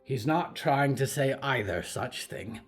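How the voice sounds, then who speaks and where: A man's voice: mid-range voice, expressive, a little slow, soft background music, close to an everyday voice. Man voicing a placating, diplomatic woman leader. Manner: soothing, polite, earnest. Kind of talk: audio fiction story acting.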